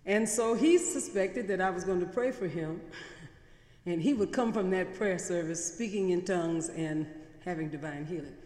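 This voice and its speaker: A woman's voice: on the low side, normal speed, a little mellow. A middle-aged woman pastor preaching a sermon, mixing conversational stories with emphatic, repeated phrases, from a pulpit mic in a large church. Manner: conversational storytelling, building emphatic repetition. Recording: reverberant church hall.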